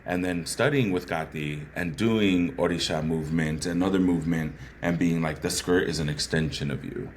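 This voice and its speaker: A man with a bassy voice talking as a guest, sharing personal stories earnestly and often checking in with "right?". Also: normal speed, few pauses, a little hiss or hum. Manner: earnest, thoughtful, frequent "right?" asides. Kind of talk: reflective conversational storytelling.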